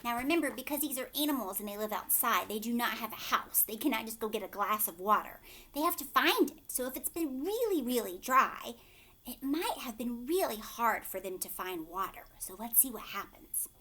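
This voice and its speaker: A woman teacher reading a storybook aloud to children, expressive, emphatic on key words and often asking questions. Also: a little high, moderate pace.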